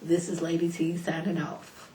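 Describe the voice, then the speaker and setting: A woman casually recapping a reality show episode for her viewers in a chatty, slangy way, a little hoarse from a cold. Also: on the low side, moderate pace, a little hiss or hum. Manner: chatty, animated, slangy, addresses viewers directly. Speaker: YouTube reality TV reviewer. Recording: echoey room.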